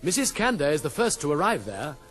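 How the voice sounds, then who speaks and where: A man's voice: fairly high pitch, big pitch swings, fairly quick, fairly bright tone, faint music behind. A man reading scripted narration as the narrator of a play.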